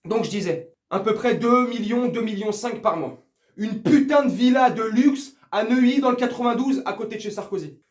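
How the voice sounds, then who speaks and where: A man's voice: sits high, moderate pace. A man giving a casual football rant to viewers, crude, slangy, teasing and confrontational. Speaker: football fan video host. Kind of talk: casual ranting commentary to viewers. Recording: echoey room.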